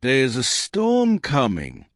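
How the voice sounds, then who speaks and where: A man's voice: mid-range voice, wildly swooping pitch, takes it slowly, no room sound, clean studio sound, voice pushed up, heavily acted. A man voicing a grave, decisive army colonel with a clipped, authoritative manner in an audiobook. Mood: grave foreboding. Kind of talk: audiobook character dialogue.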